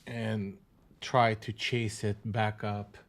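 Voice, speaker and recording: A man's voice: lowish voice, level tone, takes it slowly. Man giving live trading commentary. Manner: casual, repeats himself, restarts phrases; mild swearing. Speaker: day trader hosting a live trading room.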